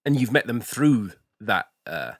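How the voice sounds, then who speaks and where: A man hosting a podcast from a sauna house, asking questions in a relaxed way, laughing easily and repeating words as he starts. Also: mid-range voice, measured pace, no room sound, clean studio sound. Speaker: podcast host. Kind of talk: casual interview chat.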